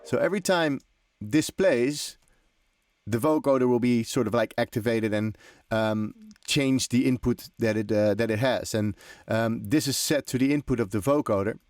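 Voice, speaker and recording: A man's voice: mid-range voice, moderate pace, a little mellow, no echo, clean studio sound. A man hosting a music production tutorial, explaining informally as he demonstrates, in loose, conversational and often hesitant phrasing. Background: electronic drum and synth loops.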